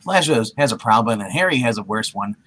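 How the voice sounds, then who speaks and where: A man's voice: fairly low pitch, animated, fairly quick, a bit bright. A man voicing an anxious, wisecracking young superhero in a theatrical comic book reading, given to exclamations. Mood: anxious musing.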